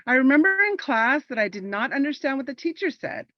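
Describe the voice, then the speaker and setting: A woman's voice: sits high, sing-song, brisk, dry recording, dull audio. A woman from Los Angeles whose first language is Spanish, an army veteran performing a personal monologue in an earnest, reflective storytelling manner. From Los Angeles, California.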